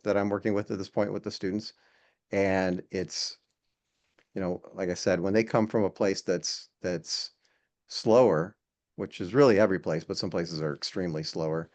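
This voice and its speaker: Low-voiced man talking haltingly. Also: darkish tone. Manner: hesitant, halting, repeats words. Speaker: podcast guest, air traffic control instructor. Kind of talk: casual interview conversation. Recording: dull, lo-fi sound.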